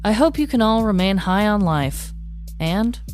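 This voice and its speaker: A woman's voice: mid-range voice, expressive, unhurried, a little mellow, soft background music. An American woman co-hosting a podcast, reading a scripted true-crime story. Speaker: podcast co-host.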